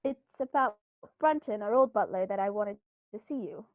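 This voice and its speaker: A woman's voice: medium pitch, expressive, normal speed, dry recording, close to an everyday voice. A woman playing an upper-class male country squire in a 1930s-style radio play, speaking with theatrical, genteel emphasis. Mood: cordial. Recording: dull, muffled recording.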